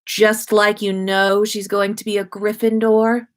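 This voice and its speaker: A woman's voice: medium pitch, little pitch movement, measured pace, voice pushed up, close to an everyday voice. A woman voicing a playful, doting young wizard father in a story read aloud. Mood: playful teasing.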